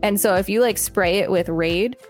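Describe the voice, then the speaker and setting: A woman's voice: medium pitch, moderate pace, faint music behind. A woman co-hosting a podcast, reading encyclopedia articles aloud and adding casual commentary.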